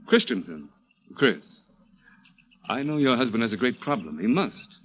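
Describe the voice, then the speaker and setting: An American man acting as a cunning, controlling young murderer in a radio thriller, with an insinuating, halting, deliberate delivery. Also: mid-range voice, sing-song. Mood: insistent, smooth. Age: young adult. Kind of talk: radio thriller acting with first-person narration.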